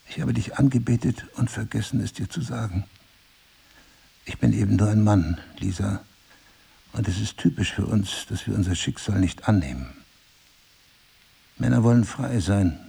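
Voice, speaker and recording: Husky, low-voiced brooding husband. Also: male voice, warm tone, full character voice. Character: remorseful, pleading husband, brooding and passionate. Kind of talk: audio drama acting.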